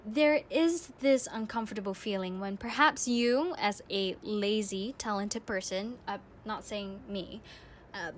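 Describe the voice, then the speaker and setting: A woman's voice: fairly high pitch, slight background noise. A young woman hosting a radio-style podcast, telling personal stories to listeners in a reflective, earnest way. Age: young adult. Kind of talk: personal anecdote, monologue to listeners.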